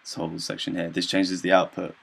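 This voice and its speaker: Low-voiced young man, hesitant presenter. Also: flat delivery, creaky, a bit bright, husky. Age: young adult. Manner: hesitant, halting, loosely explanatory. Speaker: student presenter. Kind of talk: informal software demonstration.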